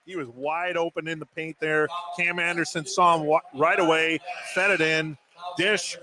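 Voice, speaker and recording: A man's voice: on the high side, steady tone, moderate pace, a crowd in the background. A man giving color commentary and analysis on a live basketball radio broadcast. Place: basketball gym at a tournament.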